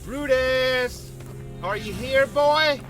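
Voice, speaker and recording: A man's voice: extremely high pitch, very slow, a bit bright, soft background music, full character voice. A man playing a tired country farmer and devoted father with a rural American accent and a folksy drawl, in an audio drama. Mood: anxious calling.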